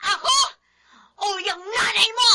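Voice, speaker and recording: A woman's voice: extremely high pitch, measured pace, pushed and bright, heavily acted. A woman playing a worn-out, resentful middle-aged Filipino wife and mother in a radio drama, complaining and insistent. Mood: desperate, furious.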